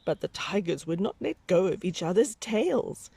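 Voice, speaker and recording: A woman reading a picture book aloud to young children, with dramatic, drawn-out emphasis and hushed whispery moments. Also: fairly low pitch, sing-song, vocal fry, husky, no echo.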